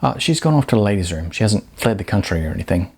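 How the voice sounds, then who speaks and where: A man's voice: on the low side, big pitch swings, fast talker, creaky, a little mellow, breathy edge, heavily acted. A man doing a glib, evasive talent agent. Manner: glib, hesitant with fillers. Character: smooth-talking male talent agent, evasive.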